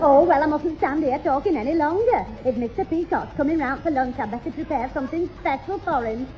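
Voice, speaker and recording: A woman's voice: high-pitched, a little fast, natural voice. Woman playing an eager, coy widow. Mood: pleased. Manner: coy, gushing. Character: eager, romance-hungry middle-aged northern widow. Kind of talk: 1960s radio sitcom acting. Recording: dull old 1960s radio recording, faint music.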